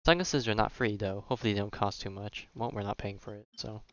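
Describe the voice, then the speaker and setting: A man's voice: lowish voice, fast talker, muffled recording. A young man streaming a game with casual, slangy, reactive commentary.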